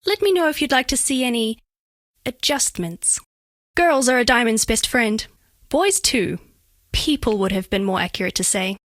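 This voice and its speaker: A woman's voice: fairly high pitch, lively intonation, normal speed, a bit bright, dry recording, clean studio sound. A woman voicing a bashful, flirtatious female alien made of living diamond in a video game, speaking in precise, oddly formal, earnest phrases. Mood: bashful, curious, then flirty, earnest. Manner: precise, stilted formal diction, earnest, coyly playful. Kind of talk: scripted game character voice lines.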